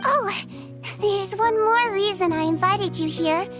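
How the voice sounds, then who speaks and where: A woman voicing a small, gentle, ageless nurse creature who looks like a young girl, speaking softly and with measure in a video game. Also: extremely high pitch, unhurried. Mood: gentle, sincere. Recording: music behind the voice.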